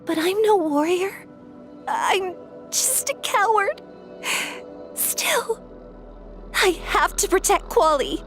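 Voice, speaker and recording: A woman's voice: very high voice, expressive, slow pace, long pauses, a bit bright, soft background music, full character voice. A woman voicing a traumatised young warrior girl, an anxious and protective big sister, in a video game. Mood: fearful, torn.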